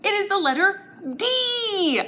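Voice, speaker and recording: A bright-voiced woman leads a lesson for young children at an unhurried, slow pace. Her delivery is playful and singsong, with very lively pitch, and she uses short simple phrases and questions. There is faint noise behind her. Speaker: children's storytime host and teacher. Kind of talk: children's lesson, interactive and instructional.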